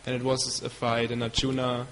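A man from Germany with a German accent, a devotee giving a storytelling talk. He speaks haltingly and stops to search for English words. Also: medium pitch, monotone, slight background noise. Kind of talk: storytelling lecture. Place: hall in Belgium. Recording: long hall reverb with faint ambience.

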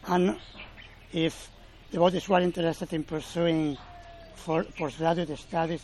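Man, slightly high voice; halting Q&A speaker, faint outdoor noise. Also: darkish tone, poor audio. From Spain. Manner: halting, self-correcting, explanatory. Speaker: college representative. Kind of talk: informal Q&A presentation.

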